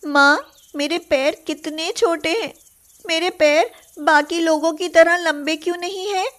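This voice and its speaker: A forlorn, self-doubting young girl from a cartoon story, voiced by a woman. Her pitch is very high and she speaks without hurry. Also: measured pace, fairly bright tone. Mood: hurt.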